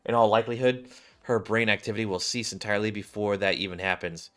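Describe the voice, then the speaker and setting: Man reading a grim, low-voiced woman soldier. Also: steady tone, fast, fairly bright tone, lightly acted. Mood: grave. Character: grim, weary woman squad soldier in a sci-fi game.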